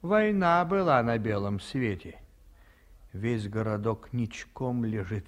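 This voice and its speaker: An actor playing an elderly, talkative provincial hotel keeper in a 1950s radio play, folksy and full of stories, sometimes dropping to a whisper. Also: male voice, mid-range voice, lively intonation, slow. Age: older. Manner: folksy, storytelling, drops to whispers.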